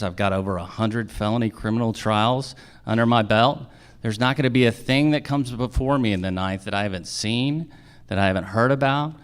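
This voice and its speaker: Middle-aged male candidate, resonant and fairly deep, emphatic stump delivery. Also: clean tone. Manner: emphatic, rhetorical questions and repetition. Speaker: judicial candidate. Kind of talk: political forum speech. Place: live in a theater.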